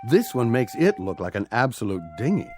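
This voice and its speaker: A man's voice: mid-range voice, big pitch swings, a little fast, over music. An actor playing an upbeat, pushy salesman in a radio drama, talking in breezy sales patter. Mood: salesy.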